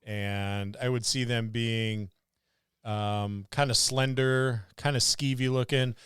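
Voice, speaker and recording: A man co-hosting a podcast, talking casually about tabletop gaming in short, considered phrases with pauses. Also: medium pitch, steady tone, a bit bright, clear, resonant tone, dry recording, studio quality. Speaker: podcast co-host.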